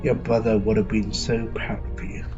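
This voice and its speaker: Pompous, formal commander for audio drama , male actor, soft dark tone, dull recording with faint music. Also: lowish voice, flat delivery, moderate pace, natural voice. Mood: stately. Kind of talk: fan audio drama acting.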